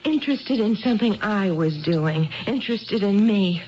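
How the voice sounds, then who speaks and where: An actress playing a nervous, hurt, neglected housewife in a 1970s radio drama, talking in a chatty, halting way that seeks approval. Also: female voice, mid-range voice, expressive, natural voice. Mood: bitter, accusing. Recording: muffled vintage radio broadcast recording.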